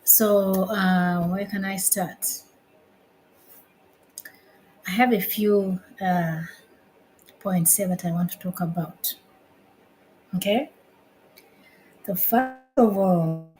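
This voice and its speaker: A woman from Uganda in her fifties, hosting a casual live stream from home, unhurried and repeating her phrases as she restarts them. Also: medium pitch, a little mellow, rough recording. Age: 50s. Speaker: livestream host.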